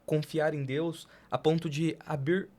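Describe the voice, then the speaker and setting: A man's voice: a little high, level tone, a little slow, dark tone, faint music behind. A Brazilian man speaking as a podcast host in a casual, reflective, colloquial way.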